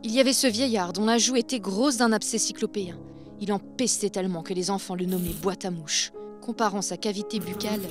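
Woman, solemn narration, literary storytelling, dark folk satire, medium pitch, background music.

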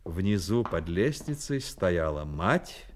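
A man's voice: sits low, sing-song, slow, a little hiss or hum. A man reading scripted narration as the storyteller of a radio drama. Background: music.

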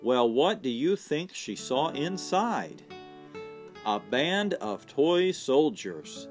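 A man reads a children's picture book aloud at a slow pace. His pitch rises and falls expressively, and soft guitar music plays behind him. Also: sing-song. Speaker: storyteller. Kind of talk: children's storybook read-aloud.

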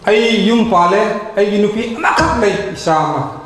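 A man in his thirties interpreting a sermon live from a handheld mic, speaking rapidly and with emphasis. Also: high-pitched, a bit bright. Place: large hall stage with red curtain. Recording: very echoey hall.

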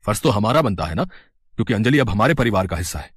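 A man's voice: on the low side, big pitch swings, quick pace, husky, no room sound, clean studio sound. A man voicing a fair-minded middle-aged father-in-law, earnest and admonishing, in a family story.

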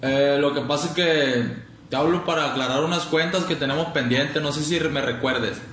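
Young Mexican prank host, slangy mock tough talk, far camera in a living room. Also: male voice, fairly high pitch, fairly bright tone, slight background noise. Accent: Mexican Spanish. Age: young adult. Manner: mock-threatening, slangy, fast. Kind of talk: prank phone call. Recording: echoey room.

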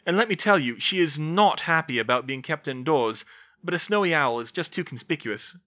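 Wry, animated adult male wizard read by a narrator. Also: medium pitch, moderate pace, pitched above the performer's own voice, natural voice.

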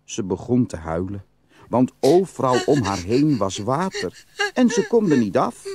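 A man narrating a fairytale as a storyteller in an expressive, scripted style. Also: mid-range voice, unhurried, crowd noise. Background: music.

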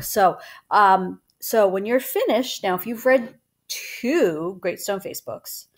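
A woman reads a children's book aloud in a conversational way, as a teacher would. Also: lowish voice. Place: small room at home.

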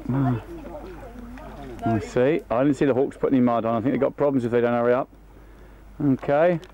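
A man's voice: mid-range voice, fairly even intonation, a little fast, dark tone, lo-fi sound. A man giving live home-video commentary and calling out instructions as a leader running games, recorded outdoors on a camcorder. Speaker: scout leader filming and running camp games. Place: outdoors at a riverbank and campsite. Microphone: behind a handheld camcorder. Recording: amateur camcorder audio outdoors.

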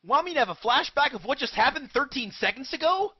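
A man's voice: very high-pitched, animated, quick pace. A man voicing a brash, argumentative and rather dim teenage ninja boy in a comedic anime parody dub. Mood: indignant. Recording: dull, dry lo-fi home dub.

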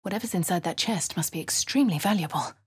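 Posh English adventurer, crisp and dry. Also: female voice, fairly low pitch, fast talker, no room sound. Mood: wry. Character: posh young woman adventurer, cool and wry. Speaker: player character. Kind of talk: video game voice lines. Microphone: close studio mic.

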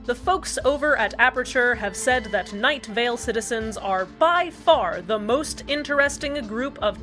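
A woman's voice: fairly high pitch, bright, forceful tone. A woman hosting a scripted community radio show, delivered in a cheerfully matter-of-fact way. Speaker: radio host. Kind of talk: scripted fan-fiction radio narration.

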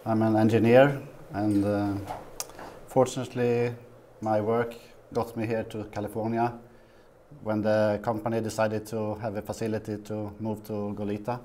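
A Swedish man in his fifties, a guest in a small TV studio interview, talking haltingly with many fillers. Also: on the low side, flat delivery, warm tone, a little hiss or hum. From Sweden. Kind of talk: casual interview.

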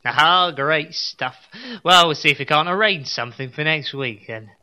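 Bright, melodramatic, down-on-his-luck evil genius played by an actor. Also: mid-range voice, moderate pace. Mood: resigned, casual. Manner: melodramatic, resigned grumbling. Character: weary, self-pitying male evil-genius doctor, down on luck. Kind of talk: comedy audio drama acting.

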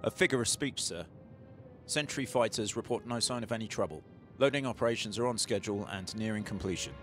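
Man voicing respectful military subordinate, late forties, measured and formal, fairly low voice, science-fiction drama. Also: a bit bright, natural voice. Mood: deferential. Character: deferential military lieutenant, late forties, calm and dutiful. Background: music.

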